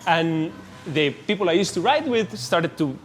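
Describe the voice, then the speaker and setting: A man's voice: a little high, lively intonation, unhurried, slight background noise. A man with a Colombian accent, a native Spanish speaker, casually telling his story as a company founder in an interview.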